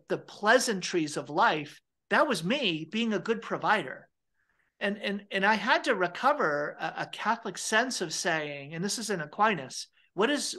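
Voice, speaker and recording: A man's voice: high-pitched, pushed and bright. A middle-aged man hosting an interview over a video call from home, speaking earnestly, often repeating his words. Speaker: interviewer, theologian. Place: home room with fireplace.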